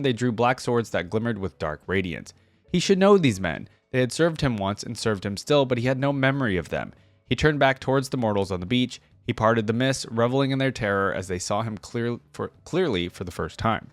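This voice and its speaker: A man hosting a reaction, moving between casual commentary and expressive reading of fantasy stories aloud. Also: mid-range voice, dry recording. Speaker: online reaction host.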